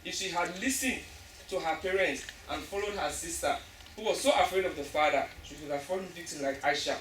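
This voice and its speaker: A woman's voice: fairly low pitch, a little fast, a bit bright. Emphatic woman in an echoey hall. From Africa. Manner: emphatic, restarts phrases. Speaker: panelist after a stage play. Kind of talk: earnest audience discussion. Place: school hall. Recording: echoey hall from far off, noisy.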